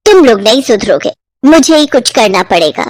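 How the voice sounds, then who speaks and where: A woman's voice: a little high, sing-song, normal speed. A woman voicing a stingy, scolding middle-aged mother-in-law in a cartoon, with a bossy, penny-pinching way of talking. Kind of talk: cartoon voice acting.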